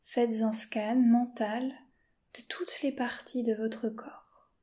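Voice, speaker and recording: A woman guides a yoga nidra session, her voice slow and unhurried. Her tone is mellow and dark, and she keeps her pitch steady and flat. She often drops to a soft whisper. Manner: slow, soft-spoken and calm, with long pauses. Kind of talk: guided meditation.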